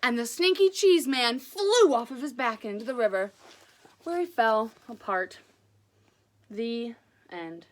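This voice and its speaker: A woman reading aloud as a storyteller, casual and chatty, with an enthusiastic, expressive delivery. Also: a little high, unhurried, long pauses.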